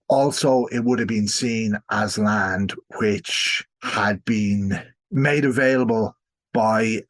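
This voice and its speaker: Discursive Irish man explaining history. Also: on the low side. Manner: discursive, self-qualifying. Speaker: historian, podcast guest. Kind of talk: conversational expert explanation in an interview.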